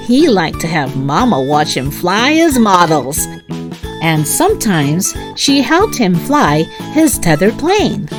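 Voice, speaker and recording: A woman narrating a children's story in an expressive way, as a read-aloud host for young listeners. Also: fairly low pitch. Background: music.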